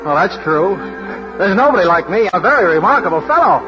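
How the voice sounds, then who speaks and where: A man's voice: high voice, big pitch swings, fast talker. An actor playing a boastful, awkwardly romantic young working man in an old radio drama, brash and blustering. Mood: brash, proud. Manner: brash, blustering, self-admiring. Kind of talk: 1940s radio drama acting. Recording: muffled old radio broadcast with music.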